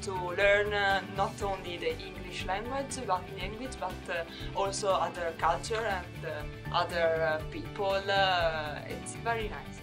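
A woman's voice: fairly low pitch, fairly even intonation, measured pace, few pauses, music in the background. Hesitant woman, Italian native speaker. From Italy. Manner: hesitant, many fillers. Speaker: language student.

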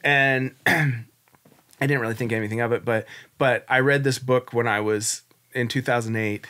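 A man's voice: medium pitch, steady tone, normal speed, sharp tone. A man in his forties, a guest on a podcast, telling his story in a candid, reflective, rambling way. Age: 40s. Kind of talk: casual interview storytelling.

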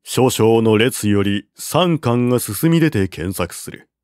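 Slow, measured male narrator. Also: mid-range voice, expressive, darkish tone, dry recording, studio quality. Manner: measured, deliberate reading with raised voice for quoted generals. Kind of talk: scripted reading of a classic war novel.

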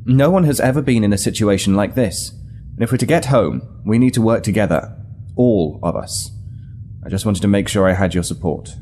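An actor playing a weary but resolute military starship captain in an audio drama, measured, formal and authoritative. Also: male voice, fairly low pitch, animated, dark tone, a little hiss or hum, close to an everyday voice. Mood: grave, earnest.

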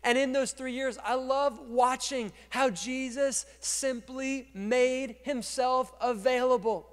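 A man in his thirties preaching a sermon as pastor on a church stage, speaking into a handheld mic and swinging from quiet to loud. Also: very high-pitched, steady tone, slow, clean tone. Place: large dark church stage. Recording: long reverb of a large church hall.

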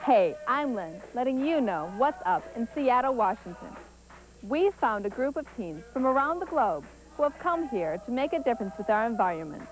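A woman's voice: on the high side, very animated, normal speed, soft background music, low-quality recording. A young woman reporting and narrating a teen news feature in a chatty, explanatory tone.

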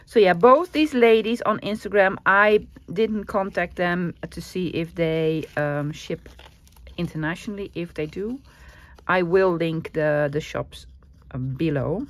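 A woman's voice: lowish voice, measured pace. A woman from the Netherlands with a Dutch accent, chatting casually as she shows off a craft supplies haul, gushing and enthusiastic. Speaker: craft vlogger.

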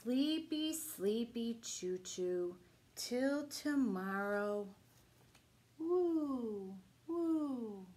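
A middle-aged woman reading a picture book aloud as a children's storytime host, with a deliberate, singsong delivery. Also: fairly high pitch, animated, dark tone. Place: small room at home, indoors. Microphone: camera a few feet away.

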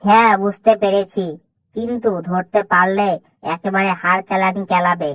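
A woman voicing a naive, timid monkey sidekick, eager and deferential, in an animated cartoon. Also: mid-range voice, moderate pace, no echo, lightly acted, rough recording. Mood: worried. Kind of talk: cartoon voice acting.

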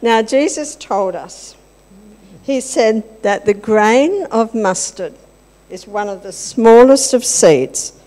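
Slow-talking older woman in a reverberant hall. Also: a little high, expressive, warm tone. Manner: chatty, teasing, asks the children questions. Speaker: church officer leading children's talk. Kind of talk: playful children's talk in a church service. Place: large church hall with congregation. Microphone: handheld microphone.

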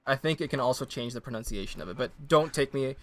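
A man's voice: medium pitch, fast. A Canadian man co-hosting a book podcast, chatting casually and joking at his own expense, a little tipsy. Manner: jokey, self-deprecating, lively bursts of laughter. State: slightly tipsy. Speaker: podcast co-host. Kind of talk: casual chat.

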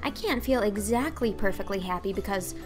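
A woman's voice: mid-range voice, lively intonation, brisk, faint music behind, lightly acted. Woman as an effusive, chattering little orphan girl. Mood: eager, chatty. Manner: effusive, earnest, theatrical. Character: talkative, dramatic, dreamy little orphan girl. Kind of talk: audiobook character acting.

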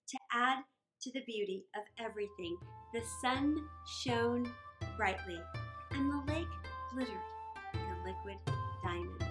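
A middle-aged woman tells a children's story in a high voice that swoops expressively up and down. She phrases deliberately and theatrically, leaving dramatic pauses, with music behind her. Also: a bit bright. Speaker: children's storytime reader and host.

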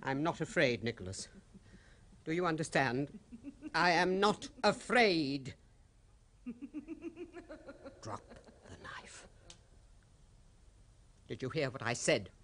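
An actress playing a stern, devout grandmother, deliberate and commanding, in a 1970s radio horror play. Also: sits low, expressive, fairly bright tone, heavily acted.

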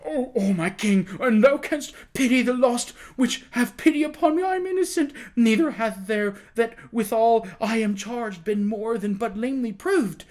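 A man's voice: very high-pitched, lively intonation, measured pace, voice pushed up. A man voicing a terrified male prisoner in an audiobook, begging imploringly in broken phrases. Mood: terrified pleading. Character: condemned male prisoner, terrified, pleading, abjectly grateful. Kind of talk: dramatized audiobook reading.

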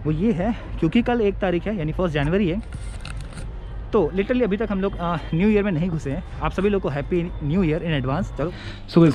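Young male travel vlogger, slightly high voice, casual and chatty, handheld camera on a busy railway platform with steady background noise. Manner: chatty, mixes Hindi with English phrases. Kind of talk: casual vlog narration to camera. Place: railway platform and train coach, in and out of doors. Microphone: handheld action camera at arm's length. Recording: noisy station ambience.

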